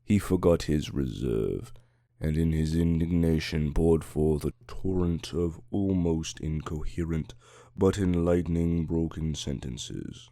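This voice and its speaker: A man's voice: a bass voice, a little slow, dark tone, dry recording, clean studio sound. A man reading scripted fiction as an audiobook narrator, in a dramatic storytelling style.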